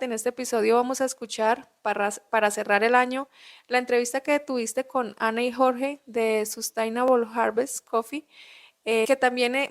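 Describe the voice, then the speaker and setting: A woman co-hosting a podcast, speaking in a measured, gently encouraging way. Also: on the high side. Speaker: podcast co-host. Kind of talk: casual podcast conversation.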